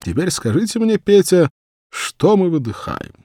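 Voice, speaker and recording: A man voicing a sweet young governess in an audiobook, giving a lesson in an absent-minded, measured, didactic way. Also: high-pitched, sing-song, mellow, dry recording, clean studio sound, voice pushed up, heavily acted. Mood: dreamy, instructive. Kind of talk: audiobook character dialogue.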